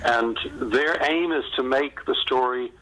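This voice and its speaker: A man speaking conversationally as an author being interviewed, in a halting, reflective way with thoughts often restarted mid-sentence. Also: mid-range voice, sharp tone, rough recording. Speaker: novelist and screenwriter being interviewed.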